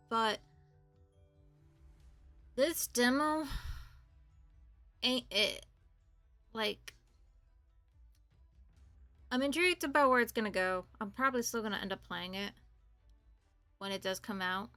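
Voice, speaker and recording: Bright-voiced woman game streamer. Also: a little high, slow pace, long pauses, faint music behind. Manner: blunt, informal, swearing. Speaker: game streamer reacting to and reviewing a demo. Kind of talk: casual gaming commentary.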